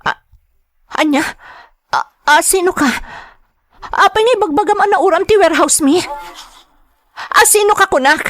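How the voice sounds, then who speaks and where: A woman with an Ilocano accent playing a fragile, anxious wife in a radio drama, with halting, stammering, melodramatic delivery. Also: very high-pitched, fairly bright tone, no echo, studio quality. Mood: alarmed. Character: fragile, distressed young wife, anxious and tearful.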